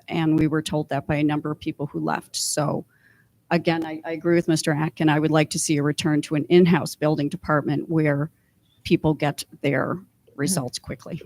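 Firm, lowish-voiced woman candidate. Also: darkish tone. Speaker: mayoral candidate. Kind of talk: answering a question at a public candidate forum.

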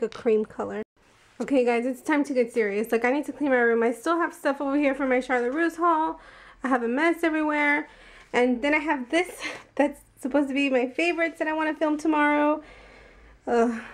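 Chatty high-voiced young woman vlogging. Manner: chatty, giggly, lots of "like". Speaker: beauty vlogger. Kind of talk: casual vlog chat. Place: car, home and stores. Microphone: handheld camera at arm's length.

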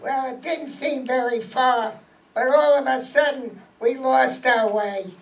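A short, cornered gangster from a radio mystery, played by a woman, talks in slangy, wheedling phrases. The voice is fairly high and creaky, with a slow drawl, picked up at a distance in a room. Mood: feigned sorrow, evasive. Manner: slangy, drawn-out tough-guy talk. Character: short male small-time gangster, five-foot-three, cornered and wheedling. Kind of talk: radio mystery acting before a live audience. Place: meeting room stage, camera among audience. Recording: distant mic in an audience room.

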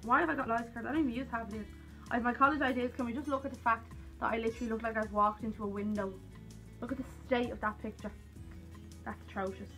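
A woman's voice: fairly high pitch, fairly even intonation, quick pace, fairly bright tone, soft background music, rough recording. A young woman with an Irish accent chatting casually to camera in a rambling, self-deprecating way. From Ireland. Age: young adult. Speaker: vlogger. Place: small cluttered room at home, indoors.

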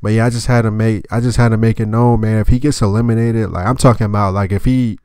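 A young man with a stuffy, nasal voice from a cold, speaking in a lowish, smooth tone. He rants bluntly and swears often, rambling with plenty of filler words. It sounds close and dry, with no room around it. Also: steady tone, fast talker, a little mellow, no echo. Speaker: anime review channel host. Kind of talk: casual vulgar rant to camera.

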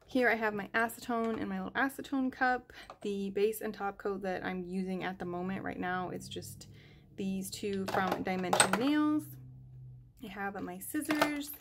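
A woman's voice: mid-range voice, moderate pace, background noise. A young woman talking casually while tidying her nail polish desk at home, thinking aloud with fillers and hesitations. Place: home nail room, indoors. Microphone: overhead camera above desk.

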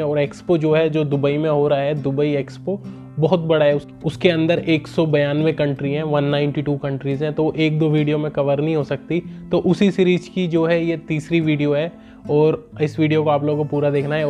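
A man's voice: on the high side, level tone, brisk, warm tone, soft background music. A young man chatting casually in a travel vlog, rambling with loose fillers as he walks viewers around. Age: young adult. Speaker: vlogger. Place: small room in front of a curtain, then walking through an expo. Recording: tinny phone-like sound.

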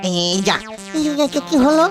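A woman voicing a greedy, mischievous, whiny ghost in a cartoon, in a theatrical, drawn-out manner. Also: mid-range voice, expressive, natural voice. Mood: distressed, whining. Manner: theatrical, drawn-out, gleeful cackling. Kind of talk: cartoon voice acting. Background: music behind the voice.

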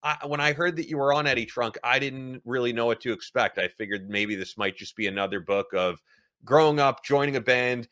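A man's voice: mid-range voice, fairly quick, pushed and bright, no room sound, studio quality. A man in his thirties hosting a podcast interview, wrapping up by plugging links and release dates. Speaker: podcast host.

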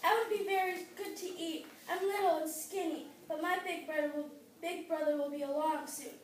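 Little plucky goat kid played by a woman, extremely high voice, children's play, echoing distant pickup. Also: fairly even intonation, moderate pace. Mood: polite, pleading. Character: small, skinny, hungry, fearless young billy goat. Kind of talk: children's fairy-tale play. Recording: echoey room, far from mic.

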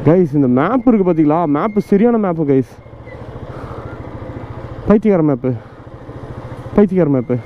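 Chatty young Tamil moto-vlogger. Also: male voice, high-pitched, lively intonation, moderate pace, pauses often. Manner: chatty, playful, talks to viewers as guys. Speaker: motovlogger. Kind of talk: casual chat while riding. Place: on a motorcycle on open roads outdoors. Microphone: helmet mic with the visor open. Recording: muffled with loud wind and traffic noise. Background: wind, engine and traffic.

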